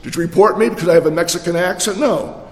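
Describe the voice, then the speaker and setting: A man preaching a sermon, animated, swinging between loud exclamations and low, drawn-out phrases. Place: large church. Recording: echoey long reverb of a church.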